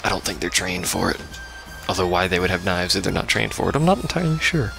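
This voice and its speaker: A man's voice: low-pitched, big pitch swings, quick pace, vocal fry. A man doing casual let's play commentary, full of wry, sarcastic asides. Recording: game music behind the voice.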